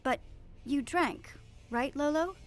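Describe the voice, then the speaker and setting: A woman voicing an earnest, grave female senator in an animated series, speaking in a measured, deliberate way. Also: sits high, soft background music.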